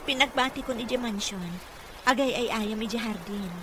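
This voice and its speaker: A woman's voice: on the high side, moderate pace, close to an everyday voice. An actress playing a dutiful, worried young mother who works as a caregiver, in an Ilocano-accented radio drama. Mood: earnest. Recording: noisy radio drama with ambience.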